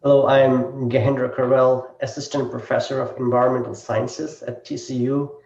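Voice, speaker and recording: Guy from nepal, flat mellow voice, sounds far off in an echoey room, muffled. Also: mid-range voice, moderate pace, vocal fry. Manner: flat, hesitant with fillers. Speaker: panelist, professor. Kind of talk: self-introduction. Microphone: video call laptop. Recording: muffled distant roomy laptop audio.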